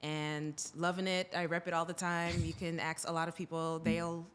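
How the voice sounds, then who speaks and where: Female podcast guest from Boston, slightly low voice, informal conversational storytelling. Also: flat delivery, moderate pace, a bit bright, smooth. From Boston, United States. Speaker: podcast guest, advertising professional. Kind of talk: casual conversational interview storytelling.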